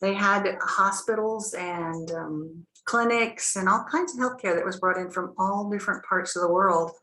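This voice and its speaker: A woman's voice: medium pitch, normal speed, bright, forceful tone. A woman from the United States with an American accent, giving a chatty, casual presentation at a webinar. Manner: chatty, casual, with frequent "you know". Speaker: nursing director, webinar speaker. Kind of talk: conversational presentation. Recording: echoey room.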